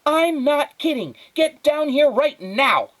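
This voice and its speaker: A man's voice: extremely high pitch, lively intonation, slow pace, fairly bright tone, voice pushed up, heavily acted. A man voicing an exasperated, self-important mother in a storybook, scolding in an imperious, emphatic way. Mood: shrill, furious. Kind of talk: storybook read-aloud character.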